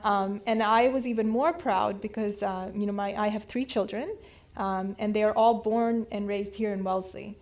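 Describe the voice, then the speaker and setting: A woman's voice: mid-range voice, fairly even intonation, normal speed, darkish tone, highs cut off. A woman in her thirties from India, with Hindi as her first language, giving an informal slideshow talk at a community center, chatty and full of fillers and restarts. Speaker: community presenter.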